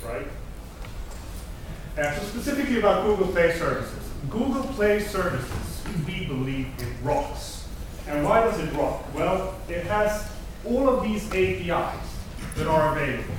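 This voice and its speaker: A man with a Swedish accent, native Swedish speaker, giving an upbeat technical conference talk and asking the audience questions. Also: a little high. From Sweden. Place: large conference hall. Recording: echoey hall, mic at a distance, noisy.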